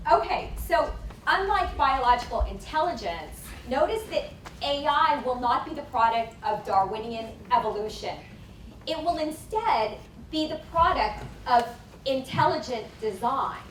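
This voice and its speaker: A woman giving a deliberate, emphatic academic lecture at a conference on a lapel mic. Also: high-pitched, animated. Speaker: conference speaker. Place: conference hall. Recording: echoey hall from far off, faint noise.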